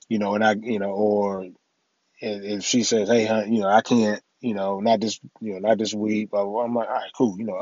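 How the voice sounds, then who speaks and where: A middle-aged man hosting his own podcast in a casual, rambling way, full of "you know" and "man", his voice a little raspy from allergies. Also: fairly low pitch, darkish tone, dry recording, highs cut off. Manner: laid-back, rambling, many "you know" and "man" fillers. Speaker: podcast host. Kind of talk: casual solo podcast talk.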